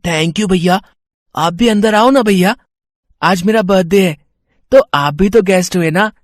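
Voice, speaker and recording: Man voicing an eager, high-pitched little boy. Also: unhurried, no room sound, clean studio sound. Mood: eager inviting. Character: eager, kind-hearted ten-year-old birthday boy. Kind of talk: animated Hindi moral story acting.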